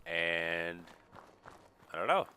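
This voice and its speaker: A man giving casual, rambling let's play commentary, thinking aloud at an unhurried pace, slightly nasal with a mild sniffle. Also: low-pitched, sing-song, bright, forceful tone, faint music behind. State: mild sniffle from a recent cold. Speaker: gaming video creator, player.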